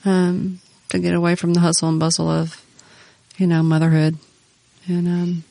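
A woman's voice: on the low side, monotone, moderate pace, creaky, warm tone, no room sound, poor audio. A middle-aged woman on a podcast with her husband, speaking earnestly and reflectively with many "you know"s. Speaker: podcast co-host, pastor's wife. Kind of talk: casual faith conversation.

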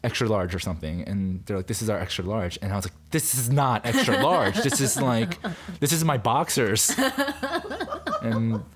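A young man, a podcast guest, telling lively stories in casual chat, full of fillers and acted-out quotes. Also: on the low side, normal speed, crowd noise. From United States. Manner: animated storyteller, many fillers, acts out quoted lines.

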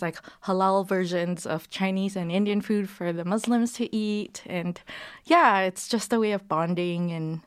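A woman's voice: fairly low pitch, clean tone. A woman, a playwright and actor answering interview questions hesitantly, with many ums. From Singapore. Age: 30s.

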